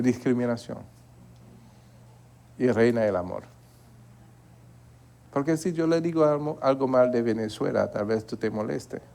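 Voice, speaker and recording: A man's voice: medium pitch, gravelly, dark tone. A man preaching a Bible study in a church, earnest and repeating himself, often asking his listeners to agree. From Haiti. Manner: earnest, repeats words, asks the congregation to agree.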